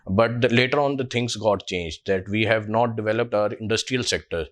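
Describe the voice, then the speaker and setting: A man's voice: fairly low pitch, normal speed. A man in his twenties with a Pakistani English accent, answering a job interview panel hesitantly with many fillers and restarts. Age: 20s. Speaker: job candidate.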